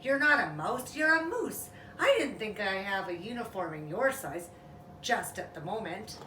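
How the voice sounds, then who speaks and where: A woman's voice: mid-range voice, big pitch swings, normal speed, reverberant, distant mic, noisy background, close to an everyday voice. A woman voicing a polite, weary but patient mother mouse in a storybook reading. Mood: surprised, polite.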